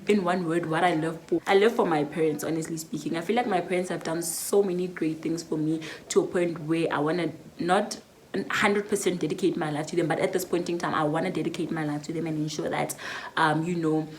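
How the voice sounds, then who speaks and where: A woman's voice: low-pitched, fairly even intonation, a little fast, a little hiss or hum. A nineteen-year-old woman with a South African accent, chatting reflectively through a Q&A vlog with lots of fillers. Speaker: YouTuber. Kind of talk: casual Q&A vlog.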